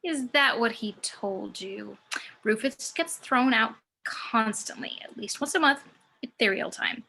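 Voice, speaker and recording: A woman's voice: on the high side, a little slow, vocal fry, sharp tone, full character voice, low-quality recording. A woman playing a cocky, sardonic muse who works as a stripper, in a script table read. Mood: dry, teasing. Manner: sardonic, brash. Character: sassy, cocky female muse working as a stripper.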